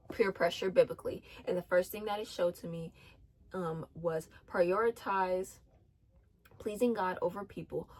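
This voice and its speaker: A twenty-year-old woman giving casual faith-based advice, earnest, with many ums, restarts and repeated phrases. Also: fairly low pitch. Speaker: faith vlogger.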